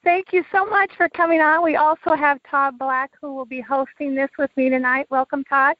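Woman host, high-pitched, friendly, dull lo-fi audio. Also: fairly even intonation, moderate pace, no room sound. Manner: friendly, with hesitant fillers. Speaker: radio show host.

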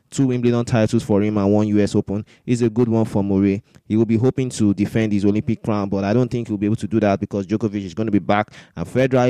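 A man's voice: lowish voice, dark tone, no room sound. A man hosting a sports discussion, giving opinionated, argumentative commentary with points repeated for emphasis. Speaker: sports podcast host.

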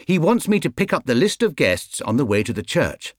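An earnest, polite little storybook bear speaks through a male narrator with a British accent. The voice is lifted in pitch and animated, full of self-importance, and recorded dry. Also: brisk, no room sound. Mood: self-important.

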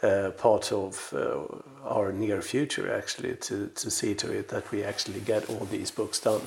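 Creaky older man, low halting voice. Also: fairly even intonation, a little mellow, a little hiss or hum. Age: 60s. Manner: hesitant, halting phrasing with repeated words. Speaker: interview guest, veteran publisher and editor. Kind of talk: conversational interview, anecdotal.

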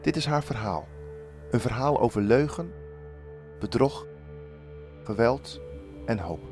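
A man giving scripted documentary voice-over narration with a measured, deliberate delivery. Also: mid-range voice, animated, music in the background.

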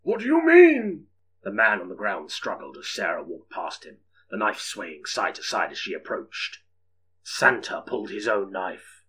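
A man's voice: sits low, moderate pace, pushed and bright, a little hiss or hum, low-quality recording. A man narrating an audiobook story in a dramatic, scripted storytelling style.

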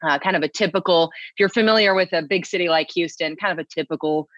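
A woman's voice: fairly low pitch, quick pace, a bit bright, dry recording, lo-fi sound. A woman from Texas, a TV news co-anchor, chatting easily as an interview guest and laughing readily. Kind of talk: casual interview conversation.

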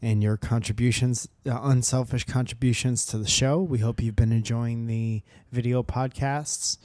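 A man's voice: mid-range voice, steady tone, normal speed, darkish tone, no room sound. A man co-hosting a podcast while sick with a cold, teasing and laughing often in casual chat. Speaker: podcast co-host.

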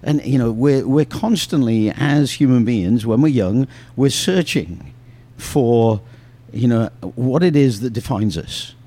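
Man, mellow warm voice, reflective, lots of fillers, podcast chat. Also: medium pitch, animated, unhurried, few pauses. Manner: reflective, joking, filler-heavy. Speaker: youth soccer coach. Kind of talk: casual podcast discussion.

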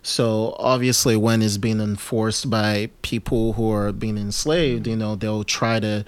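A man hosting a casual interview podcast, rambling with many fillers and restarts and lively asides. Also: on the low side, a little slow, smooth, dry recording. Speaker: podcast host.

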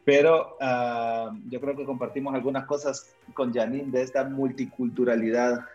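A man's voice: mid-range voice, flat delivery, normal speed, a little mellow, lo-fi sound, soft background music. A man in his thirties, raised in Honduras, a missionary and pastor telling his life story casually with frequent fillers. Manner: chatty, many "ah" fillers, laughs easily. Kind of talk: relaxed personal storytelling.

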